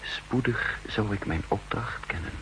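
An actor playing a brooding spy chief who narrates his own story gravely and deliberately in a 1960s radio play, with a gravelly voice. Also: on the low side, natural voice. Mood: grave, suspenseful. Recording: 1960s radio recording, faint hum and noise.

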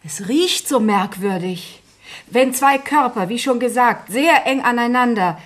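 A woman's voice: fairly high pitch, unhurried, close to an everyday voice. A woman playing a stern, easily flustered physics teacher in a radio play, formal and lecturing. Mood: irritated, puzzled. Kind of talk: German radio play acting. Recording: noisy, hissy radio play recording.

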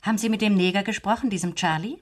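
An actress playing a composed, formal and resolute lady in a radio drama, speaking in a measured, polite and firm way. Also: medium pitch, natural voice.

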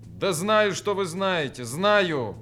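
An actor playing a gruff, weary army major who commands and grumbles, in a radio play. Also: male voice, sits high, very animated, takes it slowly, pushed and bright, faint music behind, heavily acted. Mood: commanding, tense.

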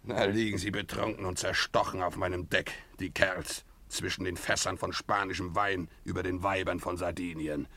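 An actor playing a swaggering, rough, drunken sea captain in a radio play, with a theatrical manner. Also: male voice, deep voice, little pitch movement, moderate pace, hardly any pauses, sharp tone, dry recording, full character voice. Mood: boastful, brooding. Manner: theatrical, swaggering, bellowing calls. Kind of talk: German radio play acting.

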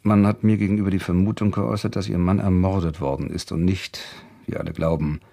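A persistent, doubtful writer turned investigator, questioning in a very deep, husky voice with gravelly fry. He speaks in a probing, hesitant way, as in a radio thriller. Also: steady tone, fast, mellow. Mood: insistent. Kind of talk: German radio crime drama acting.

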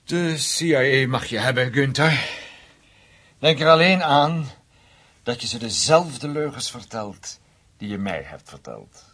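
An actor playing a shrewd, sceptical intelligence officer in a radio drama, with a measured, probing, dry manner. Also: male voice, medium pitch, animated, fairly bright tone, breathy edge. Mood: resigned, dry.

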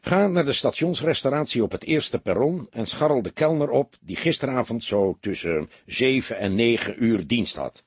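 A man voicing an impatient, commanding police detective in a storybook, firing off brisk orders and probing questions. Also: mid-range voice, expressive, breathy edge, no room sound. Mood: businesslike, instructing. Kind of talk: audiobook character dialogue.

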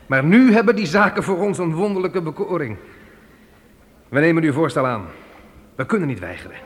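An actor playing a determined pioneer farmer leading a wagon train in a radio play, earnest and declamatory. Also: male voice, fairly high pitch, very animated, a little fast. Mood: wry resignation. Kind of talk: Dutch radio play acting. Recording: noisy old radio play recording.

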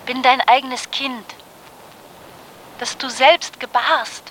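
A woman's voice: a little high, sharp tone, slight background noise, poor audio. An actress playing a grown child pleading reproachfully with a mother, declamatory and insistent, in a literary radio drama.

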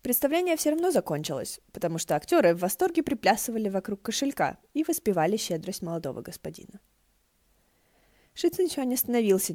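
A woman narrates a story in a soft, mellow voice. Her intonation moves freely and expressively, as in an audiobook. The sound is dry, with no room around it. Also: medium pitch, dry recording. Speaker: fan fiction audiobook reader.

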